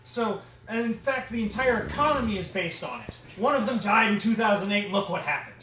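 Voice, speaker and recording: High voiced guy giving a joke lecture at a con, echoey, some noise behind. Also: expressive, fairly quick, pushed and bright. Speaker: comedy panelist at a fan convention. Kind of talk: comedic mock lecture. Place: indoor convention panel room. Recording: echoey, noisy room from a distance.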